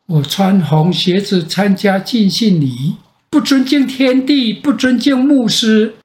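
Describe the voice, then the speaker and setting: An older man with a Taiwanese Mandarin accent tells a bedtime fairy tale as a grandfather, with dramatic pauses and repetition. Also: high-pitched, a little mellow. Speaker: grandfather storyteller. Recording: roomy echo.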